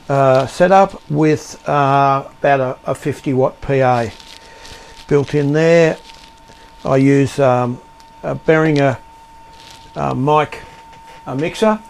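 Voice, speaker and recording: A man giving an unscripted show-and-tell of his projects as an amateur radio operator, speaking in a halting, matter-of-fact way. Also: fairly high pitch. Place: indoor home radio shack.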